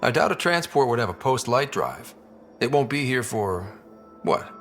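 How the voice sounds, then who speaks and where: An actor playing a wry, steady young expedition leader in a video game, with a husky voice. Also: male voice, mid-range voice, normal speed. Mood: wry, friendly. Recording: game dialogue over faint music.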